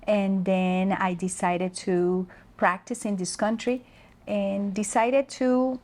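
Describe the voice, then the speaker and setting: Slow, thoughtful woman from the Dominican Republic. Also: medium pitch, flat delivery, a little mellow. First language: Spanish. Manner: slow, thoughtful, pausing with fillers. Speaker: guest speaker on leadership. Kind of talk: interview conversation.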